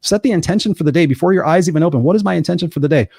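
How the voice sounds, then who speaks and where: A man hosting a live motivational show, telling personal stories in an earnest, energetic way. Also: fairly high pitch, rapid-fire, gravelly, husky, dry recording, clean studio sound. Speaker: podcast host.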